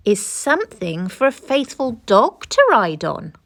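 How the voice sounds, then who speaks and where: A woman reading a children's storybook, voicing a determined, resourceful woman cyclist with slow, drawn-out emphasis. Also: mid-range voice, big pitch swings. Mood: determined, pondering. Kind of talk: children's storybook read-aloud.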